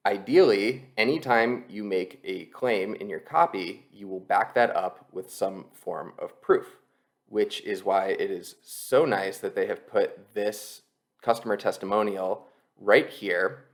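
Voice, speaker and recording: A man with a fairly low voice gives an explanatory tutorial. He sounds like an instructor talking over a screen share, conversational and going step by step. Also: unhurried. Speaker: copywriting instructor, presenter.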